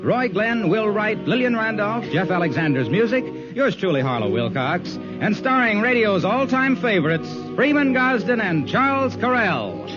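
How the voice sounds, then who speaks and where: Old time radio announcer guy, lively swooping delivery, music behind, muffled. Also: sits high, moderate pace, few pauses. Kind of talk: vintage radio announcing, scripted.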